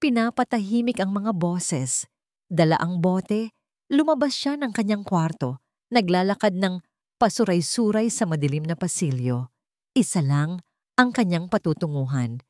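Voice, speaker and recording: A woman narrating scripted dramatic fiction in a measured, suspenseful way, with deliberate pauses. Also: fairly low pitch, lively intonation, darkish tone, no echo, studio quality. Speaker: story narrator.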